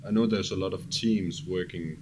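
A man's voice: sits low, steady tone, moderate pace. A man explaining things casually as a music producer being interviewed, in slightly non-native English phrasing. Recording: clearly noisy background ambience.

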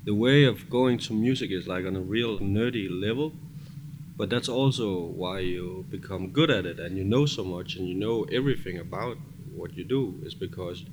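Low-voiced Danish man in noisy surroundings. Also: moderate pace. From Denmark. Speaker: music producer being interviewed. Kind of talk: casual interview answers. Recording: clearly noisy background ambience.

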